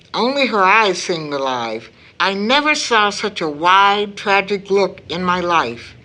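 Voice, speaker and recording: A gentle, grave mother in a radio play. Her voice is bright and swings widely in pitch. She speaks slowly and deliberately, with earnest dramatic weight and deep concern for her family. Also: exaggerated voice. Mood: anguished, bewildered. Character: worried middle-aged mother, gentle and grave. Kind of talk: audio drama acting.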